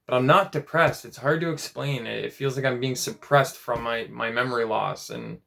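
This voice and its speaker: A man in his late twenties talking in a casual personal vlog, rambling and halting, with many restarts and fillers. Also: mid-range voice, steady tone, creaky, bright, forceful tone. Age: 20s. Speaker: vlogger.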